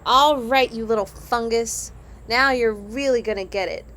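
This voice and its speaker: A woman's voice: a little high, moderate pace, fairly bright tone, close to an everyday voice. A woman voicing a bossy, scornful older brother, a young boy in a storybook, whiny and sneering.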